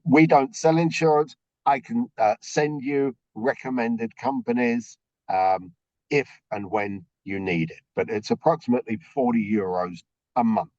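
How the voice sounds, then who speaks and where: A man with a slightly croaky voice runs a briefing over a video call, walking his listeners through the steps and checking in with a steady "okay". His voice sits in a middle register. The sound is dry and a little dull. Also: mid-range voice, no room sound. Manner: explains step by step, checks in with frequent okays. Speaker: orientation presenter.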